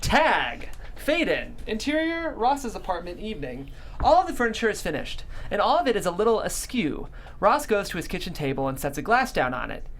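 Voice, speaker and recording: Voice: mid-range voice, big pitch swings, a little fast, slight background noise. A narrator reading a screenplay's stage directions aloud at a table read.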